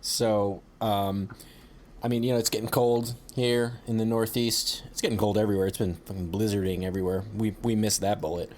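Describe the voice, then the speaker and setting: A man's voice: on the low side, flat delivery, a little fast, a little mellow, slight background noise. A young man co-hosting a podcast from his city apartment, laid-back, swearing and full of fillers. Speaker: podcast co-host. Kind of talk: casual chat.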